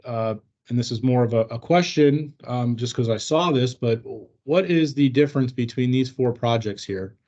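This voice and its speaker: A man leading a staff training on an online call, explaining informally in a halting, hedging way. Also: medium pitch, darkish tone. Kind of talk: informal explanatory presentation on a work video call. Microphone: video call microphone. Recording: dull, lo-fi online meeting audio.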